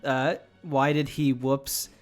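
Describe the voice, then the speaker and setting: A man's voice: mid-range voice, flat delivery, slow pace, faint music behind. A man mockingly reading fan fiction aloud, with sarcastic, sweary casual asides. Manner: sarcastic, profane.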